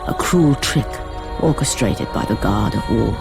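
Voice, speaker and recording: A man's voice: fairly low pitch, animated, a little slow, gravelly, music in the background. A man playing a solemn storyteller, giving grave, portentous scripted narration for a mythic video game epic.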